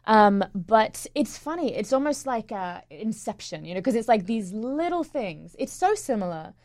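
A woman's voice: a little high, big pitch swings, normal speed, a little mellow, no echo, studio quality. A young woman in her twenties, born in England and raised in Australia, with an English-Australian accent, talking casually as an interview guest with frequent ums and laughs. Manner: chatty, laughs often, many ums. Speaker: stage actress, guest.

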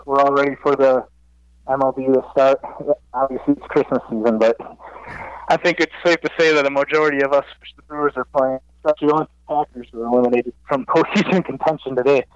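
A man's voice: medium pitch, fairly even intonation, quick pace, clean tone, no echo. A young man, a student sports writer, giving rambling interview answers that correct themselves as he goes. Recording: dry, dull lo-fi line.

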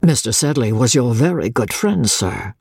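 A man plays a shy but earnest young army captain, formal and grave in his speech. The voice is husky and a little gravelly, with a lively lift in pitch, heard dry and close. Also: normal speed, no echo, heavily acted.

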